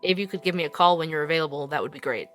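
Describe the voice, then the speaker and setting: Bright-voiced inquisitive investigator-host over music, played by a man. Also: a little high, racing, lightly acted. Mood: businesslike, friendly. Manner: casual, probing. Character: inquisitive, sceptical investigative radio host, persistent. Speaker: podcast host and interviewer. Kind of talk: audio drama interview and narration.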